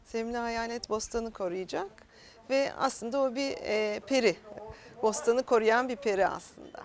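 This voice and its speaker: A woman's voice: fairly high pitch, normal speed. A woman explaining something in an interview, with a hesitant delivery. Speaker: local interviewee.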